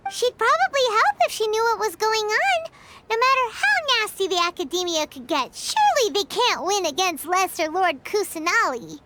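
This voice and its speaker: A woman playing a small, childlike fairy companion in a video game, outspoken and exclamatory, with a squeaky voice. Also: big pitch swings, normal speed, very noisy. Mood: earnest, supportive. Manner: speaks of self in third person, exclamatory. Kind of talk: video game character acting. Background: music.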